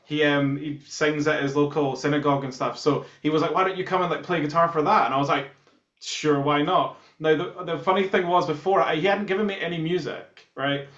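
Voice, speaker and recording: A man's voice: on the high side, steady tone, brisk, fairly bright tone. A Scottish man who plays guitar in a band, chatting casually and telling anecdotes as an interview guest. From Scotland. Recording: muffled, roomy video-call sound.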